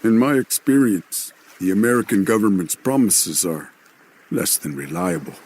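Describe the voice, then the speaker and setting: An actor playing a grave, skeptical, worldly companion to an adventurer in a video game, measured and dry. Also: male voice, sits low, lively intonation, darkish tone, slight background noise. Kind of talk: dramatic video game voice acting.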